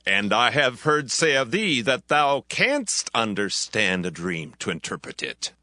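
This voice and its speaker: A man's voice: mid-range voice, very animated, measured pace, pushed and bright, no echo, studio quality, exaggerated voice. A man playing a troubled, regal king of Egypt with stately archaic delivery in a Bible audio drama.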